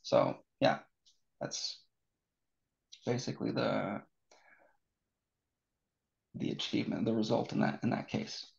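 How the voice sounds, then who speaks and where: A man with an American accent answering as a guest expert in a webinar interview, talking haltingly with many restarts and fillers. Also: lowish voice, vocal fry, in a whisper, lo-fi sound. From born in Seattle, raised in Norway.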